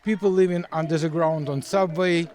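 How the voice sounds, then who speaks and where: A man's voice: fairly high pitch, fairly even intonation, normal speed. Angry older man. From Ukraine. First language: Russian. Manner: angry, emphatic, forceful. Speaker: interviewee. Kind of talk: street interview. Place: outdoors in a park.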